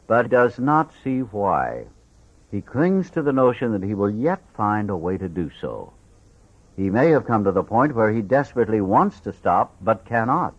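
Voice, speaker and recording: A man reading a book aloud as scripted audiobook narration. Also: on the low side. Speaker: audiobook reader. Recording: dull, lo-fi audio.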